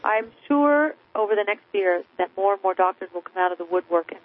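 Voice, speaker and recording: A woman's voice: mid-range voice, lively intonation, brisk, no echo. A woman being interviewed as an author, talking in an emphatic, persuasive, crusading way. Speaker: author and guest. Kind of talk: impassioned radio interview. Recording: narrow phone-like radio line.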